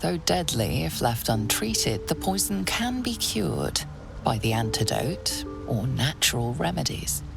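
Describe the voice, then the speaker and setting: A woman performing as a grave, hushed narrator of a fantasy game, measured, intimate and ominous. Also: extremely low pitch, big pitch swings, vocal fry, music in the background, full character voice. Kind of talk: scripted second-person game narration.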